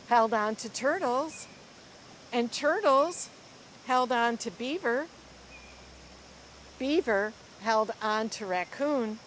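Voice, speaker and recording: A woman reads a children's story aloud in a fairly high voice. She takes it very slowly, phrasing each line dramatically for young listeners. There is some background noise in the recording. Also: a bit bright. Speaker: school librarian reading story time. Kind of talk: expressive children's picture-book read-aloud.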